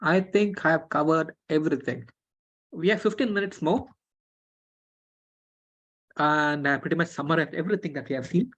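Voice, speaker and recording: A woman, a Telugu speaker, explaining a script in an online class, relaxed and full of fillers and restarts. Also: low-pitched, normal speed, pauses often, husky. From Tamil Nadu, India. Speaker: script teacher. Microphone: video call. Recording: dull lo-fi video call audio.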